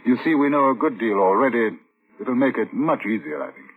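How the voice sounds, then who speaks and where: Coolly relentless police inspector, tinny recording. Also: male voice, medium pitch, big pitch swings, breathy edge. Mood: polite, probing. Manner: measured, formal, politely probing. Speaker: detective and narrator. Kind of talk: old-time radio drama acting. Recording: tinny, hissy vintage radio recording.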